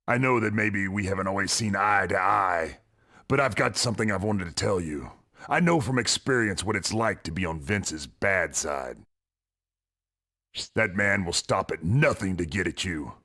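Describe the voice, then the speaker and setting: An actor playing a blunt, wary wrestler in a game cutscene, measured and sardonic. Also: male voice, low voice, animated, bright, forceful tone, exaggerated voice. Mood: grave, cautionary. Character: blunt, wary male wrestler giving hard advice. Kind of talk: video game cutscene acting.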